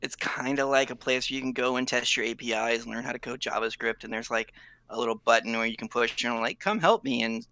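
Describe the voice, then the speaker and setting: California guy on a stream, bright voice, dry close sound, laid back and rambly. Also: medium pitch, fast talker, no echo. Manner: easygoing, rambling, with fillers. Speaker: guest developer. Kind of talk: casual interview chat.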